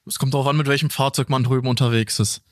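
A man's voice: mid-range voice, steady tone, racing, no echo. A man whose first language is French, a police officer, recounting his work plainly and matter-of-factly in a studio interview.

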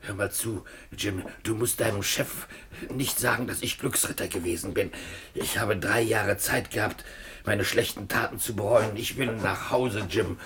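An actor playing a wild, lonely marooned ex-pirate sailor in a radio play, halting and eager. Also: male voice, on the low side, steady tone, a crowd in the background, close to an everyday voice. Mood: pleading. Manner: halting, wistful, eager, with sudden urgency. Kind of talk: German-language radio play acting.

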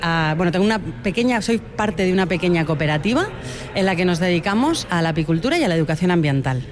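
A woman's voice: fairly low pitch, expressive, quick pace. Interviewee: woman, thoughtful and earnest, keeps checking in with tag questions. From Spain (Huesca, Aragón). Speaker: beekeeper and environmental educator, interviewee. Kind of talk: radio interview answers.

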